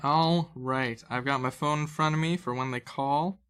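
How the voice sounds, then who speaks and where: A man's voice: mid-range voice, moderate pace, a bit bright, clear, resonant tone. A man speaking informally and conversationally as a podcast host and dungeon master. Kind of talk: casual banter and game recap.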